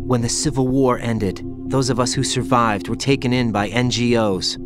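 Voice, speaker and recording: A man's voice: mid-range voice, fairly even intonation, moderate pace, lightly acted. A man playing a brooding young soldier. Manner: quiet, brooding and guarded. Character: young male soldier haunted by a child-soldier past. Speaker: game character. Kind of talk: video game cutscene dialogue. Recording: game audio with music under it.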